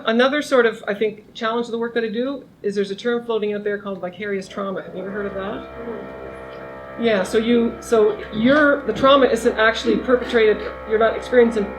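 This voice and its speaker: A woman's voice: on the high side, low-quality recording. A middle-aged woman professor giving an informal academic talk to a small group, speaking conversationally with restarts and raising her voice to be heard. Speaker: criminology professor. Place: lunch seminar room.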